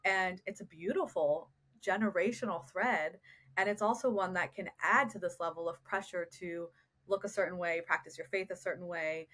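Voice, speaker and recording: A woman's voice: lowish voice, moderate pace, fairly bright tone. A woman, a therapist speaking as a guest on a podcast interview, earnest and reflective, often posing rhetorical questions. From United States.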